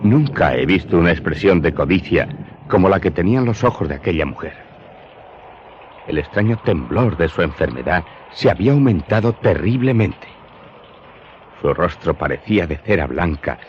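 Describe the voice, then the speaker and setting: Storyteller: low male eyewitness narrator for a dark radio drama, dull noisy recording. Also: expressive, normal speed. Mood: grave narration. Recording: dull, clearly noisy audio.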